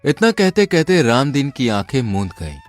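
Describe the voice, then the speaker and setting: A man's voice: medium pitch, sing-song, normal speed, faint music behind. A man narrating a scripted folk tale as a storyteller.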